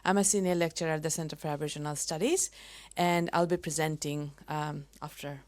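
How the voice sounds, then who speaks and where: Low-voiced woman from Bangladesh. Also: fairly even intonation, brisk, darkish tone. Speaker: senior lecturer. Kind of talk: conference panel introduction.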